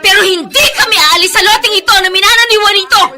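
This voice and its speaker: A woman's voice: very high-pitched, quick pace, bright, forceful tone, exaggerated voice. A Filipino actress playing a defiant, long-suffering middle-aged mother, emphatic and melodramatic, in a Tagalog radio drama.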